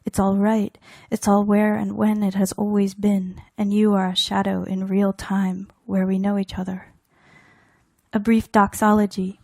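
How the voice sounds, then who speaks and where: Mellow-voiced woman reciting poetry. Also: medium pitch, monotone, unhurried, gravelly, no room sound, studio quality. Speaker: poet reading own work. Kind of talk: poetry reading, measured recitation.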